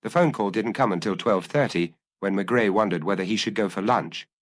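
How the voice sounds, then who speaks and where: A man's voice: on the low side, a little fast, dry recording. A man reading a detective story aloud as a scripted audiobook narration.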